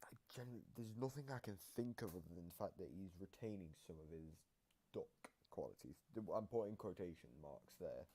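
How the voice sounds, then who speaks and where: A man's voice: low voice, expressive, brisk, dark tone. A man hosting a casual gaming analysis video, explaining things in a rambling way. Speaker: video host. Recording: noisy home microphone recording.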